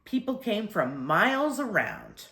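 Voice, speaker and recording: A woman's voice: medium pitch, very animated, a little slow, a bit bright, reverberant, pitched above the performer's own voice. A woman reading a storybook and voicing a tired, stubborn father who argues firmly. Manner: firm, argumentative.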